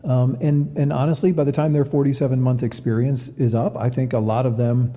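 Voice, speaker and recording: A man's voice: medium pitch, level tone, brisk, dark tone. A man giving conversational interview answers as an athletic director, often repeating words as he explains. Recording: long reverberant room sound.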